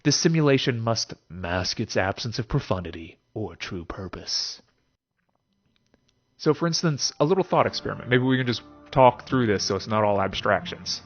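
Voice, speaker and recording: A man's voice: on the low side, expressive, moderate pace. A man hosting an explanatory philosophy monologue in a conversational way, with theatrical emphasis and rhetorical check-in questions. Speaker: host of a philosophy talk series. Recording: dull lo-fi sound. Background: music.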